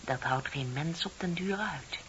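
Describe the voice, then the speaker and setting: An actress playing a bitter, composed office clerk in her thirties in a radio play, speaking in a deliberate, pointed, theatrical way. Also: sits low, fairly bright tone. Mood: wistful, bitter. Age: middle-aged. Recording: dull, hissy old radio play recording.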